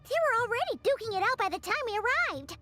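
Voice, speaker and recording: Squeaky, excitable little fairy. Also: female voice, fast, full character voice. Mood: excited, curious. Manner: chirpy, exclamatory, blunt. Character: small, excitable, inquisitive childlike fairy companion. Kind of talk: animated video game character acting. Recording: music behind the voice.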